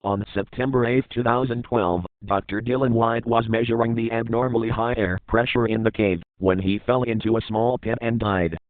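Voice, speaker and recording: A man's voice: mid-range voice, steady tone, moderate pace, hardly any pauses, no echo. Phone-like, dry man narrating scripted horror.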